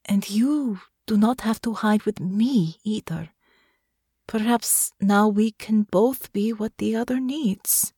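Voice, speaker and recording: Woman acting a soft-spoken, earnest farm woman. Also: mid-range voice, very animated, slightly rough, no room sound, clean studio sound, full character voice. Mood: gentle, intimate. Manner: soft, careful, deliberate, formal phrasing. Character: gentle, earnest young farm woman with foreign speech. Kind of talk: audiobook character dialogue.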